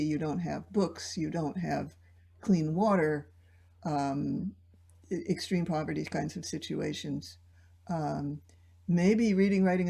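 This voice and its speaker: A woman's voice: low-pitched, gravelly, dark tone, husky. A woman taking part in an online discussion, thinking aloud and searching for her words. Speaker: discussion participant. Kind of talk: reflective discussion. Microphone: video call.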